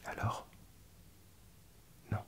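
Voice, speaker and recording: A man playing a calm, reassuring doctor in a soft-spoken, hesitant French ASMR medical roleplay. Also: extremely slow. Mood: calm, instructing. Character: calm, reassuring male doctor treating a strange sensory illness.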